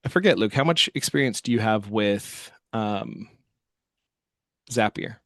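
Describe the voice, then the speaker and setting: A man giving a casual software walkthrough as host, thinking aloud as he works. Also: lowish voice, expressive, unhurried, no room sound, studio quality. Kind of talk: casual screen-share walkthrough.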